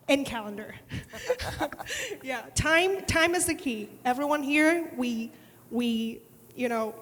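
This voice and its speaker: A woman's voice: fairly high pitch, fairly bright tone, a little hiss or hum. Emphatic woman panelist in a reverberant hall. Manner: emphatic, repeats words when pressing a point. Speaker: panelist attorney. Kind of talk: panel discussion. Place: large conference hall.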